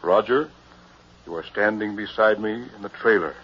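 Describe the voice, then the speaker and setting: An actor playing a sinister, controlling doctor in a 1960s radio drama, speaking in a commanding, hypnotic and deliberate manner. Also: male voice, lowish voice, a bit bright, slightly rough. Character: sinister, controlling male doctor running a mind-swap experiment. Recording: dull vintage 1960s radio recording.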